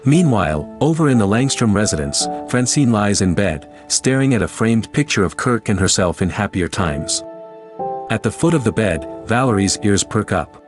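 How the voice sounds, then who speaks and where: A man narrates an audio drama over clearly audible music. His voice is on the low side and animated, with a dramatic scripted delivery. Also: normal speed.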